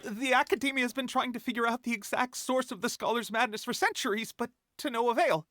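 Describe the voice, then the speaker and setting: A woman's voice: a little high, fast talker, fairly bright tone, no echo, clean studio sound, natural voice. A woman voicing a confident, articulate scholar in a video game, making a knowing argument. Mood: knowing, assured.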